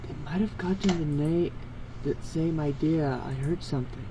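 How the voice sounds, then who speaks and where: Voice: medium pitch, normal speed, dark tone, rough recording. Someone reading fan fiction aloud in a casual, informal way, stumbling over words and correcting themselves.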